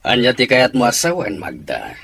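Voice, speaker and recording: A gruff middle-aged father in an Ilocano radio drama. His voice is very husky and creaky, with wide swings in pitch. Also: wildly swooping pitch, moderate pace, fairly bright tone, exaggerated voice. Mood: doubting. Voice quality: gravelly, husky.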